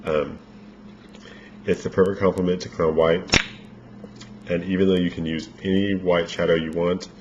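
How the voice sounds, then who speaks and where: A man giving a casual makeup tutorial, explaining each step in a relaxed, rambling way with many fillers, his voice a little worn as if he is losing it. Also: deep voice, normal speed, pauses often. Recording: dull lo-fi home recording with faint noise.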